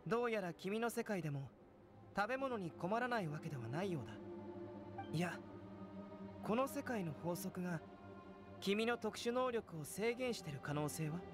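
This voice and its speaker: Young scholar for fantasy game. High male voice, composed, polite, analytical, faint music behind. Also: expressive, moderate pace, long pauses. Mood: calm, curious. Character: young male alchemist, calm, curious, detached scholar. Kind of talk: anime video game acting.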